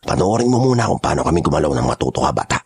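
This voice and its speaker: A man playing a menacing older kidnapping gang boss in an audio horror drama, raspy, commanding and threatening. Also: a bass voice, sing-song, rapid-fire, full character voice. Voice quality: raspy, gravelly.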